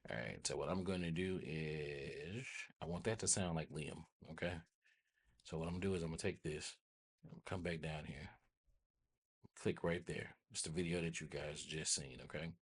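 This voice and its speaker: An American man with a husky voice hosting a casual software tutorial, rambling informally and often checking in with listeners. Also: a bass voice, moderate pace. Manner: informal, rambling, repeats check-in tags like "right?" and "okay?". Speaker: host of a tech tutorial channel.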